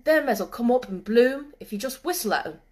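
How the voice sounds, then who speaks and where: A friendly, nature-wise moor boy as a woman narrator acts him out in a storybook. He speaks broad, earthy Yorkshire dialect with an easy assurance. The voice sits a little high. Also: expressive, brisk, darkish tone, natural voice. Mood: friendly, assured.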